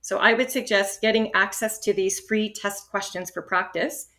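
A woman from Canada explaining speaking-test strategies in an encouraging, steady instructional manner. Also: medium pitch, moderate pace. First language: English. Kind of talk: instructional podcast talk.

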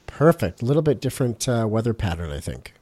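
A man's voice: medium pitch, big pitch swings, quick pace, dark tone, breathy edge. A man hosting an interview podcast, playful and jokey, with self-deprecating asides. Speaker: podcast host. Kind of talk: casual interview, upbeat show intro.

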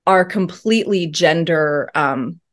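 A woman talking as an interview guest in a thoughtful, earnest way with many pauses and ums. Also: on the low side. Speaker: podcast guest. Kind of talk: reflective interview talk.

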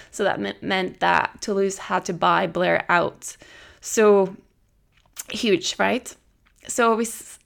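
A woman, talking to her podcast listeners in a loose, chatty way. She strings her thoughts together with plenty of "like" and sounds keyed up. Her voice sits at a middling pitch with a steady gravelly fry. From Norway. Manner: chatty, frequent "like" fillers, excited retelling. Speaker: podcast host. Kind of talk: casual solo podcast chat.

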